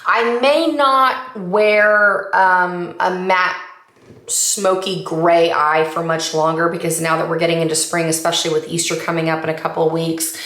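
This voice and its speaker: Woman makeup vlogger, chatty and upbeat, slightly raspy from allergies, lowish voice, roomy echo. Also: steady tone, normal speed, fairly bright tone. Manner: upbeat, enthusiastic, rambling. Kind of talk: casual chatty update. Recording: echoey room.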